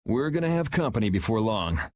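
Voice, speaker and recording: A man's voice: lowish voice, sing-song, brisk, dry recording. An actor voicing a cool, blunt young swordsman in a video game, dry and laid-back. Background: game music.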